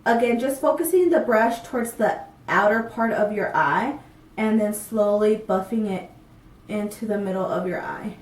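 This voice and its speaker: A woman's voice: medium pitch, moderate pace, distant mic. Young woman vlogger w a stuffy cold voice, chatty and full of ums, kinda roomy echoey sound. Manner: chatty and upbeat, many ums. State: congested from a cold. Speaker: beauty vlogger. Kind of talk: casual makeup tutorial. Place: small room, plain backdrop. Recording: roomy and off-mic.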